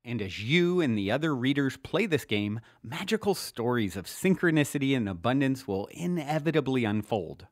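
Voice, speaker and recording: A man reading his own self-help book as scripted narration, earnest and motivational with deliberate emphasis. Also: medium pitch, very animated, no room sound, studio quality.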